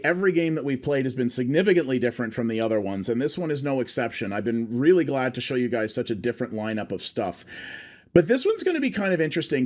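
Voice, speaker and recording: A man's voice: mid-range voice, a little mellow. A man casually thinking aloud as he streams his first look at a game, chatting through the menus and settings. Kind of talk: casual live game first-impressions commentary.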